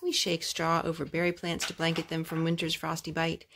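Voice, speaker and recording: A young woman reading a children's picture book aloud at home, phrase by phrase in a measured, careful way. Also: low voice, completely flat delivery, fairly bright tone. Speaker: storytime host reading to her children. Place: carpeted room at home. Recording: clear background noise and clicks, homemade.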